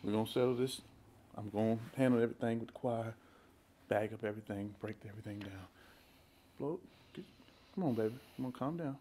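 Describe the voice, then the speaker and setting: A man's voice: fairly low pitch, mellow, slight background noise, natural voice. A man as a placating, sheepish church husband. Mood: soothing, hurried. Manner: repetitive, coaxing, halting. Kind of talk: comedy sketch acting. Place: inside a house.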